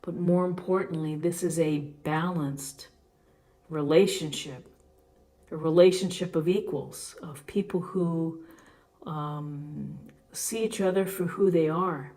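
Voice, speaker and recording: A woman with a low voice hosts a tarot reading at a slow, unhurried pace. She explains the cards off the cuff, leaving deliberate pauses between phrases and offering gentle encouragement. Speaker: tarot reader, host.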